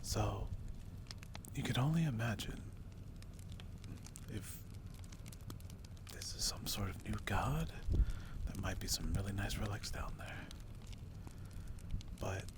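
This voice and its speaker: A man voicing a brave but wary barbarian recruiter in a dark fantasy ASMR roleplay, speaking in a hushed, halting way. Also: fairly low pitch, expressive, noisy background. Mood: uneasy. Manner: slow, hushed, halting delivery with pauses. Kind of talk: ASMR roleplay, whispered and softly spoken.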